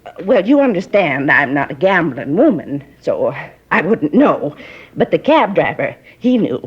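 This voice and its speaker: An actress playing a gossipy, nosy middle-aged neighbor who rambles and confides in folksy grammar, in a 1950s radio drama. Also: female voice, deep voice, big pitch swings, a little slow, husky, heavily acted. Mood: gossiping. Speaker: apartment neighbor questioned by police. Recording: dull lo-fi 1950s radio recording.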